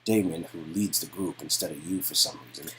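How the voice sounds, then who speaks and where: Low-voiced man narrating an interactive story. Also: a little fast, a bit bright, a little hiss or hum, rough recording. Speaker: reader and narrator of a game's dialogue. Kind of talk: dramatic read-aloud of a visual novel.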